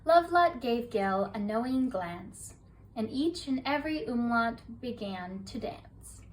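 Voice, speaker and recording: Bedtime reader, woman, high voice, soft rhyming lilt, distant mic with faint hiss. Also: lively intonation, a little slow. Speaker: storybook reader. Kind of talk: bedtime story read-aloud.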